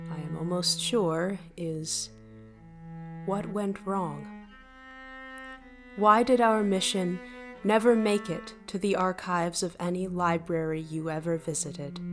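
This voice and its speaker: An actress playing a thoughtful, gentle starship mind in an audio drama, with measured, wistful, formal phrasing. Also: female voice, on the low side. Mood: wistful, solemn. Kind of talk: audio drama narration and dialogue. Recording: music behind the voice.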